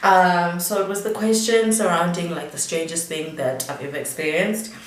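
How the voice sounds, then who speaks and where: A woman's voice: lowish voice, fairly even intonation, normal speed. Young South African woman vlogger, a bit tipsy, loose rambling delivery; roomy sound with the mic at a distance. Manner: rambling, chatty, many fillers. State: tipsy from drinking. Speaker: beauty vlogger. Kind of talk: casual storytime chat.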